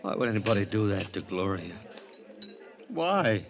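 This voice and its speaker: Grieving ex-husband, low pitch, halting, emotional, defensive, 1950s radio drama. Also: male voice, very animated, highs cut off, noisy background. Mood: grief-stricken.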